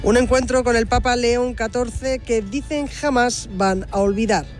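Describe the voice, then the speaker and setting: A woman reporter describing an event in a calm news style. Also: fairly high pitch, unhurried, soft background music. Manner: calm narration of the scene.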